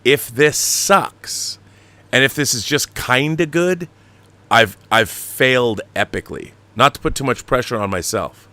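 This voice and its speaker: A man hosting a casual livestream, explaining things in a rambling, animated, slangy way, like a director talking shop. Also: mid-range voice, unhurried, dry recording. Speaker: show host and audio drama director.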